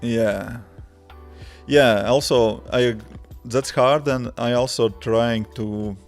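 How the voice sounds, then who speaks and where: A man in his thirties with a Latvian accent, a developer chatting casually on a live stream in simple, halting English with many restarts. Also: medium pitch, fairly even intonation, soft background music. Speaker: livestreaming developer answering chat.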